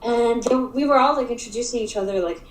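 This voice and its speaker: A young woman from Africa, a guest in a casual interview, speaks in halting phrases full of fillers and restarts. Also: on the high side. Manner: halting, filler-heavy speech with frequent restarts. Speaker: interview guest, digital art expert. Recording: roomy echo.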